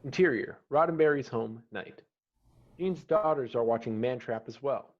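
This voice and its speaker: Casting: male stage-direction reader, medium pitch, terse scripted narration, slightly muffled online-call sound. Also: normal speed.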